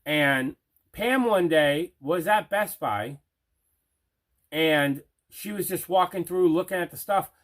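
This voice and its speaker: A man with a slightly high voice hosts an unboxing video about his collection. He chats casually and enthusiastically, repeating words for emphasis. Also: slow pace, fairly bright tone. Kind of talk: casual unboxing chat to camera.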